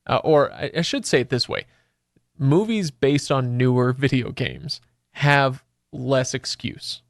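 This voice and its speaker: A man hosting a casual, comedic podcast discussion, playfully pitching ideas with halting restarts. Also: animated, gravelly, no room sound, studio quality. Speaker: podcast host.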